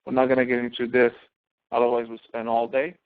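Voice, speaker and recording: A man's voice: medium pitch, monotone, fast, clear, resonant tone. A man instructor giving an informal, explanatory lecture, emphatically repeating key phrases for stress. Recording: thin phone-like narrowband audio.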